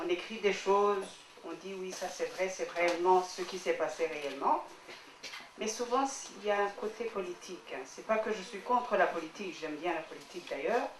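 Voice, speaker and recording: Rwandan woman, q&a after a screening, repeats her points, faint room hiss. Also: mid-range voice. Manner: earnest, repeats herself while making a point. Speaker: audience member. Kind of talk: public Q&A remarks. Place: screening hall. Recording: roomy hall, distant mic.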